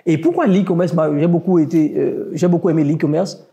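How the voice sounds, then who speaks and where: A man's voice: on the high side, brisk, warm tone, highs cut off. A man with a West African French accent, talking in a casual podcast about online business, emphatic and motivational, speaking straight to listeners.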